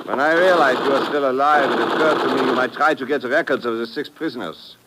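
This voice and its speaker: An actor playing a scheming, oily mine boss in a 1950s radio drama, formal and precise, with cowardice underneath. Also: male voice, mid-range voice, brisk, lightly acted. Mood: smug. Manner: formal, precise, smug menace. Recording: noisy old radio broadcast recording.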